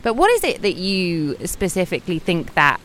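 A woman's voice: on the low side, wildly swooping pitch, moderate pace, a bit bright, a little hiss or hum. A woman hosting an interview, chatty and enthusiastic, with many "you know" and "like" fillers. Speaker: show host, interviewer. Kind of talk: casual interview questions. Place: outdoors on a beach.

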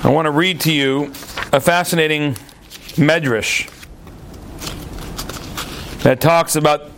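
Man, high pitched, Torah teacher, lively storyteller, talks straight to the class with asides. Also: slight background noise. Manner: animated, repeats words mid-sentence; chatty asides to listeners. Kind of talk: storytelling sermon-style lesson.

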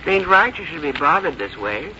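Elderly country mother, plain-spoken, quietly stubborn, sharp bright tone, 1950s radio play, muffled recording with faint noise. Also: sits low, very animated, a little fast, exaggerated voice. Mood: resigned, tender. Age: older. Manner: folksy, wry, plain-spoken. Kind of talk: 1950s radio drama acting and narration.

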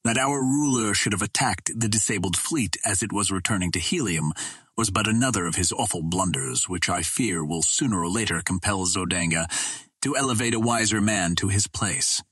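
Grave older nobleman, read by a male narrator, husky and low. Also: moderate pace, fairly bright tone, no room sound, studio quality. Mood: grave regret. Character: older Martian nobleman, courtly, grave and regretful.

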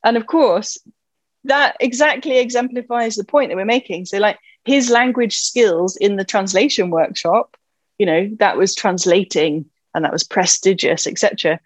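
An enthusiastic woman chatting about language in a conversational podcast. Her voice sits at an ordinary pitch and she fills her sentences with "you know". The recording is dry and close, with no room sound. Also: normal speed, dry recording. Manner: enthusiastic, uses many fillers like "you know".

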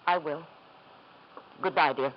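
An actress playing a wounded, restrained wife with wry bitterness in a radio drama. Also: female voice, low-pitched, slow. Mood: brittle politeness. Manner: theatrical, emotionally restrained. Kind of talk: 1950s radio drama acting. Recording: muffled, faintly noisy 1950s radio recording.

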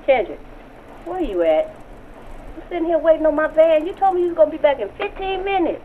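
A woman's voice: sits high, expressive, fairly quick. A woman playing an impatient mother scolding her teenage son in colloquial speech on a voicemail skit. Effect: phone voicemail filter. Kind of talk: acted voicemail skit from a rap album. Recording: dull lo-fi voicemail with clear background noise.

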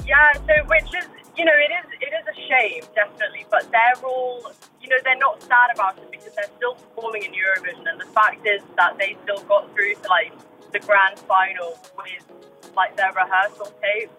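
A woman from Iceland chats as a radio guest. Her voice is bright and medium in pitch. She is informal and effusive, peppering her talk with "like", with light music behind. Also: moderate pace, low-quality recording. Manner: informal, effusive, uses "like" often. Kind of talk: casual chat.

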